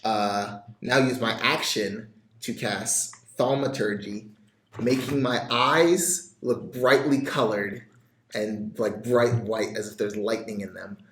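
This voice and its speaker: A man taking his turn as a player in a tabletop role-playing game, animated and laughing often. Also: on the low side, takes it slowly, a little hiss or hum. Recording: echoey room.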